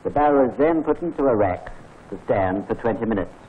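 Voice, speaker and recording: A man's voice: mid-range voice, expressive. Formal British man narrating a 1940s training film. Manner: measured, formal, instructive. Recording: tinny 1940s film soundtrack with faint hiss.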